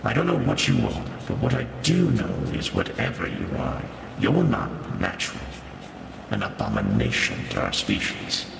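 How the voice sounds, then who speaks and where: Man playing gravelly, echoing alien warrior. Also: medium pitch, huge pitch swings, normal speed, full character voice. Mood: grave resolve. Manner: solemn, formal. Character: stern, noble alien symbiote warrior. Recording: heavy reverb, echoey, loud background noise.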